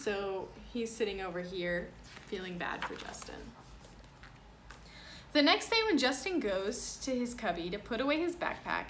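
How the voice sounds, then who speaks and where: Lady reading a kids book out loud, explains stuff simply, medium pitch, some noise behind her. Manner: plain, explanatory, child-directed phrasing. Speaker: read-aloud storyteller, teacher addressing children. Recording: clear background noise.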